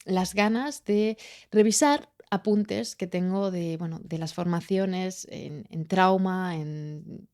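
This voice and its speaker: Chilean-born woman, spanish accent, solo podcast, mellow lowish voice, thinks out loud with lots of ums and restarts. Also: slow. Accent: Spain Spanish. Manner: searching, hesitant, many fillers and restarts. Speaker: podcast host. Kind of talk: reflective solo podcast monologue.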